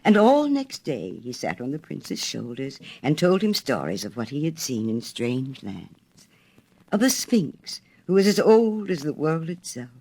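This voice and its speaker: Woman reading a fairy tale with sweeping intonation. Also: low voice. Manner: expressive, measured delivery. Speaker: storyteller.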